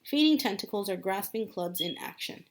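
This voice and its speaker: A woman's voice: mid-range voice, lively intonation, normal speed, background noise. A woman teacher reading a book aloud as a lesson, repeating key points and adding simple explanations for children.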